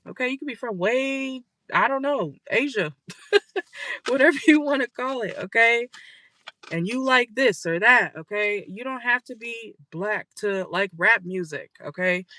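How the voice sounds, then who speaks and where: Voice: mid-range voice, bright, forceful tone. A tarot reader giving a casual reading for viewers from a car, blunt and profane, tagging lines with "okay?", and losing their voice.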